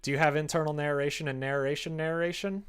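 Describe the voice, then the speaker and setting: A man chatting casually on a livestream as he works at a computer, thinking out loud and joking as he goes. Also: on the high side, steady tone, quick pace, faint music behind. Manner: musing aloud, joking, reads chat and drafts aloud. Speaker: streamer writing a visual novel. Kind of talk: casual livestream chat while writing. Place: indoors at a computer desk.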